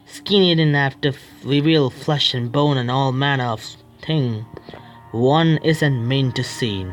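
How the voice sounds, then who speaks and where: A man streaming a horror visual novel, reading its text aloud haltingly, stumbling over words and breaking off to complain at the game. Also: mid-range voice, faint music behind. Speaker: gaming streamer.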